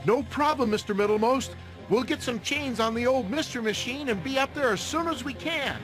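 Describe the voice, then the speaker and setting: A man's voice: extremely high pitch, fairly quick, a bit bright, faint music behind, low-quality recording. A man voicing an upbeat, wholesome young gang leader in a cartoon game, earnest and peppy.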